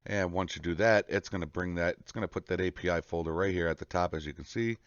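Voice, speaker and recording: A man hosting a casual step-by-step software tutorial in a folksy, reassuring way, often checking in with the listener. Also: deep voice, fast talker. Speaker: tutorial host. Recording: muffled, roomy home recording.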